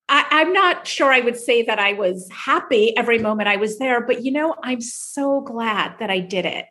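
A woman with a Jamaican accent, a physician guest, telling a lively personal story in an interview. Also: on the high side.

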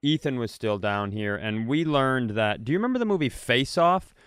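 Man, comedy podcast co-host, deadpan and rambling, smooth resonant voice, dry sound. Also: medium pitch, moderate pace, no echo. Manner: rambling, deadpan, asks offbeat questions. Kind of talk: casual comedic chat.

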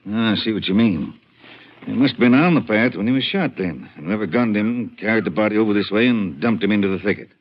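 An actor playing a folksy, plain-spoken small-town sheriff in a 1950s western radio drama. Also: male voice, fairly low pitch, lively intonation, quick pace. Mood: easygoing. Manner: folksy, easygoing. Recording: muffled, noisy old radio recording.

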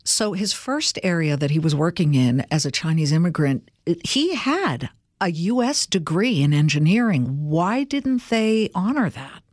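A woman's voice: lowish voice, sing-song, a little slow, no room sound, clean studio sound. A woman hosting an interview, asking questions in a chatty, opinionated way with asides and restarts. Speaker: interview host. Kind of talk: conversational interview.

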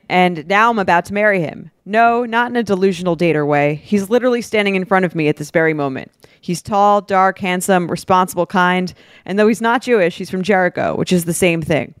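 A woman's voice: medium pitch, dry recording. Woman reading aloud, close dry sound. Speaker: podcast co-host. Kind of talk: dramatic reading aloud with casual banter.

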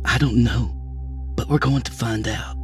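A man's voice: mid-range voice, a little slow, faint music behind, voice pushed up, natural voice. A man voicing a plain-spoken witch woman talking telepathically in an audiobook, terse and folksy. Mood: unsure, resolute.

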